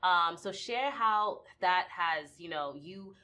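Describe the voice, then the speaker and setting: A woman's voice: fairly low pitch, slow, bright, forceful tone, a little hiss or hum. A woman hosting a casual interview, encouraging her guest and laughing along. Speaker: interviewer, host.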